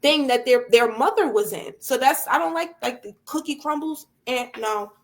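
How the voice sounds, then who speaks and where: A woman's voice: high voice, normal speed. A woman in her thirties, a guest on a casual panel, arguing in a heated way. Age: 30s. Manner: heated, argumentative.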